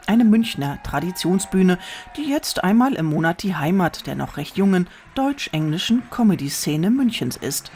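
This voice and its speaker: A narrator reading a scripted radio feature in an even, composed way. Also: mid-range voice, normal speed, a little hiss or hum.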